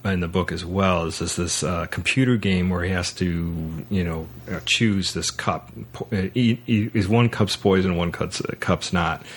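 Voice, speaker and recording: A man hosting a podcast, casually talking through a film in a halting way, circling back to repeat his words. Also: low voice, fairly even intonation, slight background noise. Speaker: podcast host.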